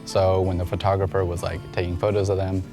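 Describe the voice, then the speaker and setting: Brief: late-twenties man, deep voice, offhand chat, music underneath. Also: flat delivery, quick pace. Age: 20s. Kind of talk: casual anecdote.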